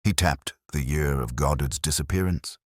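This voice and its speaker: A man narrating a first-person detective story in a measured, literary style, brooding, deliberate and understated in his delivery. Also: rumbling low, no room sound, clean studio sound.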